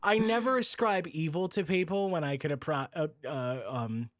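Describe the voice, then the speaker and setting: A man hosting a casual podcast, talking in an animated, rambling way and swearing freely. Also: a little high, moderate pace, dry recording, clean studio sound. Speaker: podcast host.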